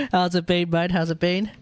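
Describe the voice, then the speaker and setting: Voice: medium pitch, sing-song, unhurried, background noise. A game streamer giving casual, informal and reactive live commentary in a chatty way. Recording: game music behind the voice.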